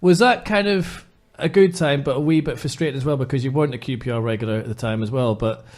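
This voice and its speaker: Welsh man, podcast host, mid-pitched voice, jokey, chatty, rambling questions. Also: fast talker, a little mellow. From Wales. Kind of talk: casual interview chat.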